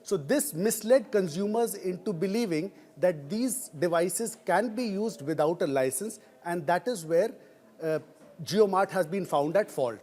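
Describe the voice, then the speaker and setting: A man with an Indian accent explaining a story as a news reporter in a hesitant, halting way. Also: sits high, animated, a little mellow. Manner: hesitant, halting delivery with frequent pauses.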